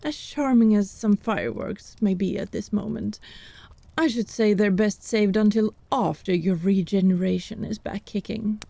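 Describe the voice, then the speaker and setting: A woman's voice: medium pitch, moderate pace, creaky, mellow, no room sound. A woman playing a wry, irreverent witch and healer in a fantasy audio drama, wordy and sardonic. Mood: dry, playful. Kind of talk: fantasy audio roleplay acting.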